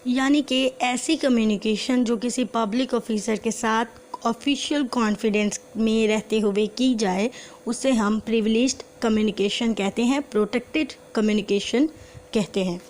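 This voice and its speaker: A woman's voice: a little high, steady tone, a little mellow, slight background noise, low-quality recording. A woman with a Pakistani accent teaching in a methodical, explanatory lecture style, reading out and translating formal text. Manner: methodical, slow and deliberate when reading quoted text. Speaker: law lecturer.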